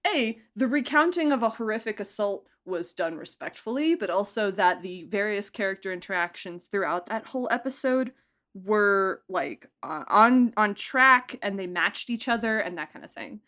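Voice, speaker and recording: A woman's voice: mid-range voice, expressive, moderate pace, fairly bright tone. A woman chatting casually and effusively as a podcast guest, talking as a producer and director and often breaking into laughter. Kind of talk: casual behind-the-scenes interview chat.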